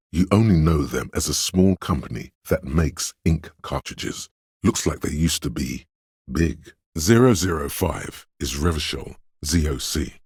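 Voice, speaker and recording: An erudite inner voice from a video game, pitched extremely low. The sound is full of gravel and very husky rasp. He delivers facts in a deliberate, dryly pedantic way, as if addressing the player directly. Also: a little mellow, dry recording, studio quality, heavily acted. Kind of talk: measured game narration addressing the listener.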